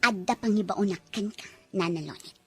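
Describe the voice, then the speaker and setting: A woman's voice: medium pitch, a little fast, bright, forceful tone, soft background music, lightly acted. A woman voicing a cruel, scheming female employer who gives threatening orders to her maid in a radio drama. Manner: cold, commanding, threatening.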